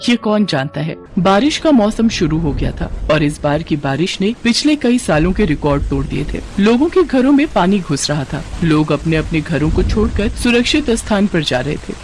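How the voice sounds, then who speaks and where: A woman storyteller reading a scripted family tale in a warm, even way. Faint music plays underneath. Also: on the low side, moderate pace, few pauses.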